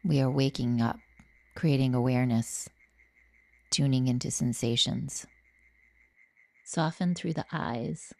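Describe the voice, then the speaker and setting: A woman leading a guided yoga nidra meditation, speaking gently and soothingly with long pauses. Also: extremely low pitch, vocal fry, no room sound.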